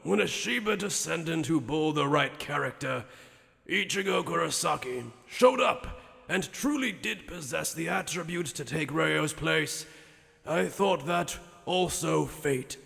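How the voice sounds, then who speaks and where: A man acting a gravelly, echoing ancient sage. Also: a little high, expressive, a little slow, bright, forceful tone, exaggerated voice. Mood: emphatic, reflective. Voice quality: gravelly, creaky. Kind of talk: anime fan dub acting. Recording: long hall reverb on the voice.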